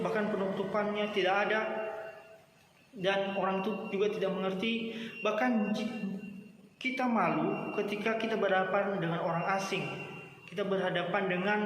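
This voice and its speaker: A young man from gives an earnest, halting student speech, repeating phrases and addressing his listeners directly. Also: high voice, a bit bright. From Nias, Indonesia. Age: young adult. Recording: very echoey room, clear background noise.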